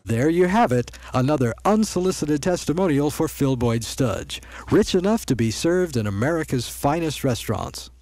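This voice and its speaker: A man's voice: on the high side, animated, normal speed, a little mellow. A man playing a confiding commercial announcer with sales patter in a parody radio ad.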